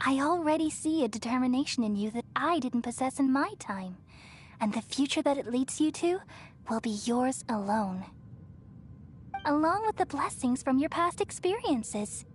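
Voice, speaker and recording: A woman playing a serene, wise goddess in a video game, speaking gently and in a measured, reassuring way. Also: high voice, expressive. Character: serene, wise female deity, remnant consciousness of a dead ruler. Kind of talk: scripted video game voice acting. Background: background music.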